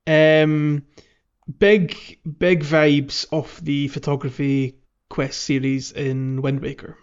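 A man's voice: a little high, little pitch movement, takes it slowly, smooth. Man chatting casually, slightly dull audio. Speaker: podcast co-host. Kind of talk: casual chat about a video game.

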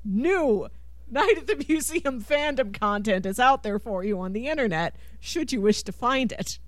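A woman hosts a casual podcast in a lively, higher voice. She is chatty and quick to laugh. The recording is dry and close, with very little room sound. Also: no room sound. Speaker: podcast host. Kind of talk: casual pop culture chat.

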